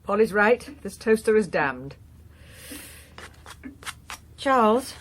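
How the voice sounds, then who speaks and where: An actress playing a politician's dryly exasperated wife in a domestic radio drama scene. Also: female voice, medium pitch, big pitch swings, slow pace, a little hiss or hum. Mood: dry exasperation.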